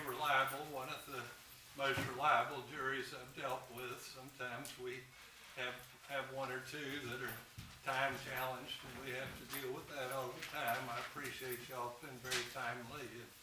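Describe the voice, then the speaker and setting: A man, a judge speaking to a jury in a folksy, even tone. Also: mid-range voice, moderate pace. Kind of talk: addressing a jury. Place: courtroom. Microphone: far room mic. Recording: echoey courtroom, distant mic, noise.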